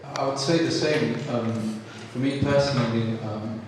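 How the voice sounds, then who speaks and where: A young man from South London reflects on his training in a level, monotone way, full of "um"s. He sits far from the microphone in a big hall. His voice trails off into a long reverb. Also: medium pitch, a little slow, slight background noise. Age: 20s. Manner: earnest, says "you know" and "um" often. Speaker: photography trainee. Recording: echoey hall, distant mic.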